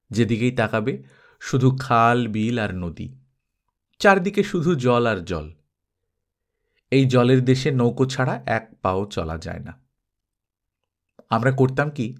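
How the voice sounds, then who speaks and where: A male storyteller reads a childhood tale aloud at a middle pitch. He talks straight to his listeners in a close, playful way and drops in asides as if they sat beside him. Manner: intimate, addresses listeners directly, playful asides.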